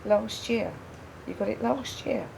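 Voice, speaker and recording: A woman's voice: on the high side, measured pace, vocal fry, background noise. An actress playing a frank, wry, grieving woman in a naturalistic radio drama, speaking reflectively and repeating phrases for emphasis. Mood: subdued, reflective. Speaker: radio play actor.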